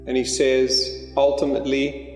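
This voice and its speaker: A man leading a spiritual guided meditation, speaking in slow, deliberate phrases with long pauses. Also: medium pitch, completely flat delivery, darkish tone. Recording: echoey hall-like reverb under music. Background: music.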